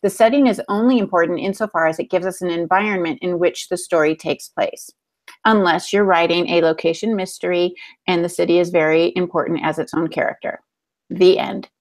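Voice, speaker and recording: A woman's voice: lowish voice, fairly even intonation, brisk, a little mellow. A woman bantering as a panelist on a casual writing podcast, playful and sarcastic in her manner. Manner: playful, mock-offended sarcasm.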